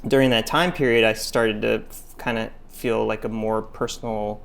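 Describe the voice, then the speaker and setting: A middle-aged man who grew up in Taiwan, a chef talking in a relaxed, deadpan way with many fillers during a casual interview. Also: lowish voice, moderate pace, gravelly. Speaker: chef and restaurateur guest.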